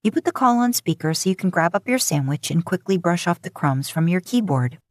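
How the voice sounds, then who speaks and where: A woman's voice: on the low side, expressive, a little fast, no room sound. A woman narrating scripted fiction as an audiobook reader, recorded close up.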